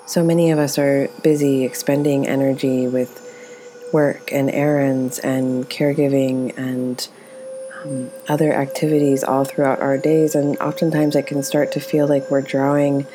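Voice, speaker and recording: A woman leading a guided meditation as its teacher, speaking in a gentle, soothing, unhurried way. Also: rumbling low, noisy background.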